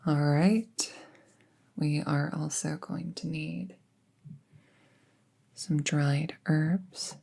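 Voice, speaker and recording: A woman playing a friendly apprentice witch in a roleplay audio, speaking softly and breathily, often near a whisper, with an unhurried, casual murmur. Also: low-pitched, fairly even intonation, gravelly, full character voice. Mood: focused, calm. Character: friendly young apprentice witch, soft-spoken and casually chatty. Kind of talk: roleplay audio drama, soft ASMR-like delivery.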